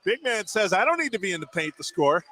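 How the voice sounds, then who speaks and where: Male color analyst, slightly high voice, conversational live basketball commentary. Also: sing-song, fast, bright, forceful tone. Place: at a basketball game.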